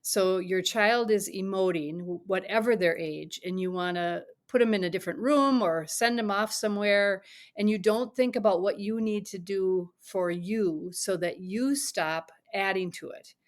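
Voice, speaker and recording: A middle-aged woman, a parent coach, speaking as a podcast guest in an earnest, advising way, sometimes dropping to a soft whisper to demonstrate. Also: mid-range voice, moderate pace.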